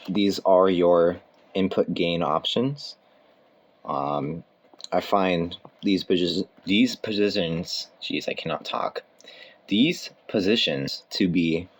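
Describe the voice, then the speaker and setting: A man casually presenting equipment as a hobbyist, in an informal, halting manner, correcting himself as he goes. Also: deep voice. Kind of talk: casual hands-on demonstration. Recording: clear background noise.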